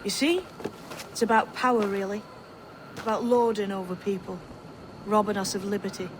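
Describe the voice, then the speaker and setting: A man's voice: high-pitched, fairly even intonation, moderate pace, natural voice. A man playing a blunt, stern but amiable assassin in a video game. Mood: frank, earnest. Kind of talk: video game cutscene acting. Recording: clearly noisy outdoor ambience.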